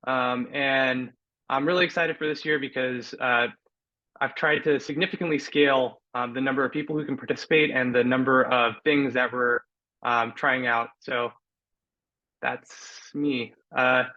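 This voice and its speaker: A man leading an online meeting, talking casually with many pauses and fillers. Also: mid-range voice, pushed and bright, dull audio. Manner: hesitant, many ums and uhs. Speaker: club meeting organizer.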